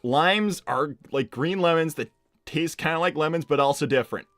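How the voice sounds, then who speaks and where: A man playing a cocky, laid-back, slightly dense young hedgehog hero in improvised live roleplay, talking casually and haltingly with plenty of filler words. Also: a little high, a bit bright, no echo, soft background music, natural voice. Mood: stubbornly clueless. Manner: casual, halting, lots of "like" and "you know". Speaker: live stream character performer reading donor messages.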